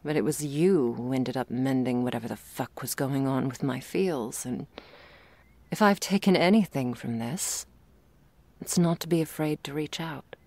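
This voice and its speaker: A woman playing a confident, teasing but vulnerable girlfriend in an intimate ASMR romance roleplay, confiding tenderly and haltingly, often dropping into breathy whispers. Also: rumbling low, a little mellow, no room sound. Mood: earnest, vulnerable.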